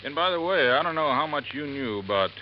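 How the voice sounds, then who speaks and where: An actor playing a plain-spoken, deliberate lake resort manager in a 1950s radio drama. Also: male voice, on the high side, animated, lightly acted. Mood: matter-of-fact. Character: folksy, unhurried male lake resort manager. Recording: muffled, faintly noisy vintage radio recording.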